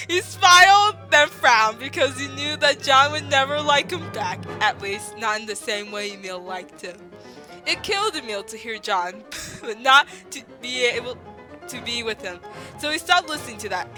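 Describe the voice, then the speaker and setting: A young woman with a slight lisp reads a story aloud over a voice call, high and bright, her voice leaping all over the place. She keeps cracking up and swearing as she goes. Faint classical music plays underneath. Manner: breaks into laughter, swears, fast excited delivery. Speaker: reader of a fan fiction. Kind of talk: giggly casual dramatic reading. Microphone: voice call microphone.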